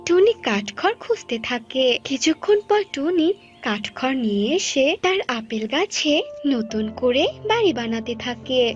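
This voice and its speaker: A woman's voice: high voice, sing-song, moderate pace. Woman narrating a cartoon story, slightly dull recording. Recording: dull lo-fi sound, music behind.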